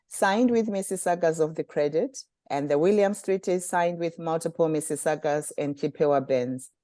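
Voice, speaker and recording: A woman from Zimbabwe hosting a community webinar, reading formal prepared remarks in a measured way. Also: deep voice, mellow, no echo. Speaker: communications director and webinar host. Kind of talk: scripted webinar introduction.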